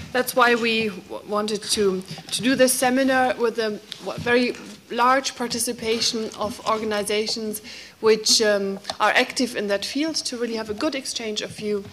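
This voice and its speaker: A woman whose first language is German opens a conference in English. Her slightly high voice is earnest and a little halting as she welcomes the room. A faint noise sits under the recording. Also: a bit bright. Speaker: seminar host and politician. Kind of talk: formal opening speech.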